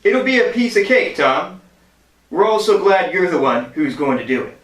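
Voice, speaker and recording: An actor playing an upbeat, ingratiating office colleague who pushes with flattery in a sitcom-style radio play. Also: male voice, sits high, moderate pace. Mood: smooth, insistent. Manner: ingratiating, insistent. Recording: roomy, echoey sound.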